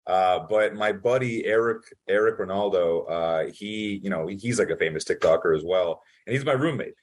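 A young man, born in Italy, telling stories in a casual interview with lots of fillers and acted-out quotes. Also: sits low. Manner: fast, animated storytelling full of fillers. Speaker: podcast guest.

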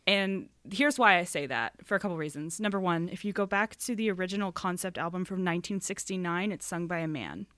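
A young adult woman in a casual podcast conversation, voicing firm opinions and turning emphatic as she argues. Her pitch sits a little low for a woman. The sound is close and dry, with no room echo. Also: fairly quick, few pauses. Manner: opinionated, gets animated and emphatic. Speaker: podcast co-host. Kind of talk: casual chat.

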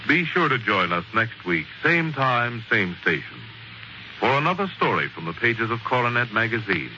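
Old time radio storyteller guy, dramatic n measured, very lively pitch, muffled vintage recording. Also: slight background noise. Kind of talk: 1940s radio narration, scripted. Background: prominent music.

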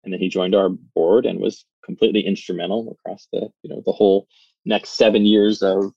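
Man, 30s, husky, dull muffled recording, dry booth sound. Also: low voice, little pitch movement, brisk, dark tone, dry recording. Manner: rambling, many "like" fillers. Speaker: startup founder and CEO. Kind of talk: casual interview chat. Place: small confined phone booth.

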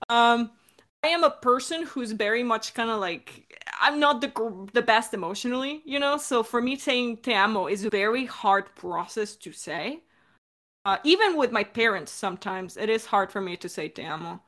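A woman's voice: a little high, animated, normal speed, a bit bright. A woman from Mexico with an American accent, explaining things informally as a creator in a Q&A, hesitating and correcting herself. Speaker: audio drama creator in a Q&A. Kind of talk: casual chat, explaining.

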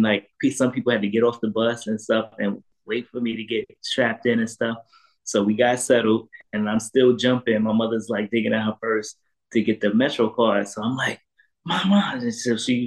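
A man tells stories as a guest in a casual chat. His voice sits at an ordinary middle pitch. He is lively and often laughs through what he says. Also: rough recording. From Harlem, New York. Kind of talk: casual storytelling.

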